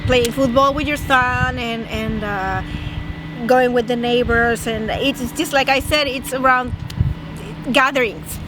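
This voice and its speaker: A woman's voice: a little high, fairly even intonation, unhurried. A woman from northern Mexico with a Mexican Spanish accent, chatting and explaining at length in a casual street interview. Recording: outdoor street noise.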